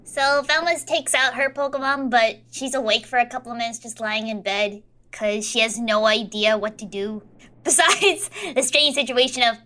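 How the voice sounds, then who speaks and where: A woman playing in a tabletop roleplay podcast, talking casually in a halting way, often repeating words. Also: on the high side, bright, forceful tone.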